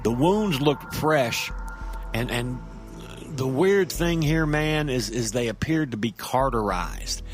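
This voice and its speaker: A man acts out an earnest everyman narrating a creepy audio drama tale. He stammers and restarts in a folksy, conversational way, hesitant throughout. Music sits under his mid-pitched voice. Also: lively intonation, slow. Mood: uneasy. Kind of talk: first-person audio drama monologue.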